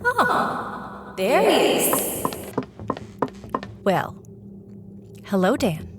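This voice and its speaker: An actress playing a jealous, demanding, excitable girlfriend in an audio drama, with theatrical, insistent delivery. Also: fairly high pitch, extremely slow, background noise, full character voice. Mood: suspicious, demanding.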